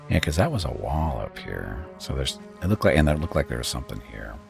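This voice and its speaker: A man streaming a game, reading its text aloud with relaxed, wry asides. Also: a bass voice, brisk, gravelly, faint music behind. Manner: relaxed, wry asides between read passages.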